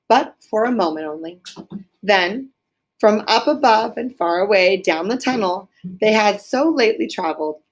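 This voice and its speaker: A woman's voice: fairly low pitch, rough recording. A woman reading a children's story aloud, with casual explanations for young listeners along the way.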